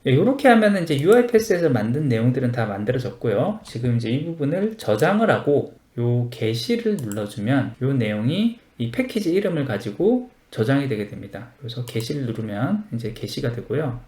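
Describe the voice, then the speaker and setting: Man, echoey room, lively intonation, mid pitch, polite step-by-step tutorial narration. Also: fairly quick, few pauses. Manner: explanatory, polite formal endings. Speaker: software tutorial instructor.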